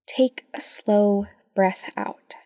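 Soft, mellow woman, close and dry. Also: medium pitch, fairly even intonation, drawn-out words, no echo, in a whisper. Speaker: podcast host. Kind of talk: intimate guided reflection to listeners.

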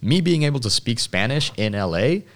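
A man's voice: medium pitch, big pitch swings, moderate pace. A man from Mexico in his thirties, a guest on a comedy podcast, chatting casually with self-deprecating humor and frequent laughs. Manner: self-deprecating, laughs often, rambling restarts.

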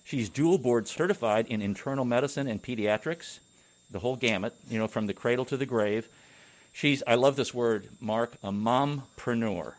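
A male talk show host, warm in tone and enthusiastic. He gives a glowing introduction of his guest and keeps the chat moving. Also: medium pitch, moderate pace, poor audio.

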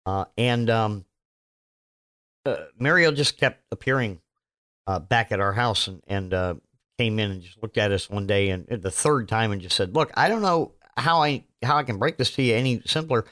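Man, podcast host, hoarse recovering voice, rambling, self-mocking, lots of ums and restarts. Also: fairly low pitch, moderate pace, a bit bright. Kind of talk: casual solo podcast monologue.

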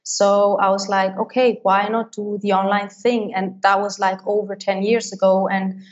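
Interview guest: woman, steady flat delivery, muffled lo-fi capture. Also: mid-range voice, normal speed. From Switzerland. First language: Swiss German. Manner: fluent, many likes and restarts. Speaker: business coach, interview guest. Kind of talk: casual interview answers.

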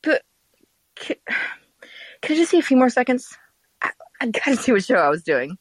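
Very animated female voice, woman as starstruck schoolgirl, husky, breathless halting delivery, dry audio drama. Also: a little high, no echo, low-quality recording. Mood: flustered, eager.

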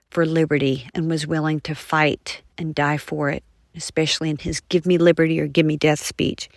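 A woman's voice: fairly low pitch, no room sound, studio quality. A woman speaking as a guest author, telling history with enthusiasm.

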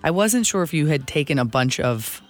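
A woman co-hosting a show who teases her partner in animated, casual morning-show banter and laughs often. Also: deep voice, moderate pace, faint music behind. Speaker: radio show co-host.

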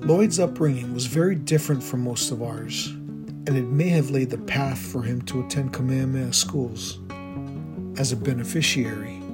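A man interviewing a guest, speaking slowly and encouragingly, asking gentle questions. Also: mid-range voice, over loud music. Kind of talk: oral history interview.

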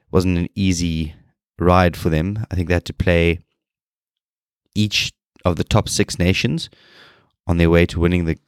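Low-voiced man with a cold. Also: clear, resonant tone, dry recording, clean studio sound. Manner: low-energy, rambling with restarts. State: nasal from a cold. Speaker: podcast host. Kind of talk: solo podcast talk.

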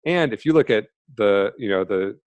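A man's voice: lowish voice, lively intonation, moderate pace, no room sound, highs cut off. A man from Michigan with an American accent, talking loosely as a podcast guest, with many fillers and restarts. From Michigan, USA. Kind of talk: casual interview talk.

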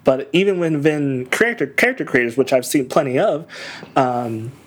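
A man's voice: mid-range voice, animated, moderate pace, slightly rough. A man co-hosting a casual podcast chat about video games, easygoing and a bit hesitant. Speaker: podcast co-host.

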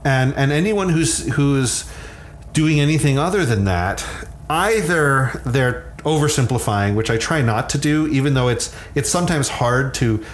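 Male expert interviewee, medium pitch, careful conversational explanations, noisy background. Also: a little slow. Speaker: sleep scientist, interview guest.

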